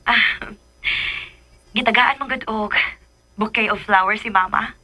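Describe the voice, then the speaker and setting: A woman's voice: medium pitch, a little slow, bright, forceful tone, poor audio. A woman playing a lovestruck, clingy adolescent girl in a radio drama, with a pleading, girlish manner. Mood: confiding, playful. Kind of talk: Filipino radio drama acting.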